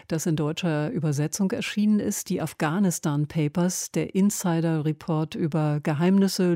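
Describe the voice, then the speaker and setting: Bright, dry-sounding female radio presenter. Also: low-pitched, no echo. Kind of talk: broadcast book-review interview, scripted introduction and questions. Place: radio studio.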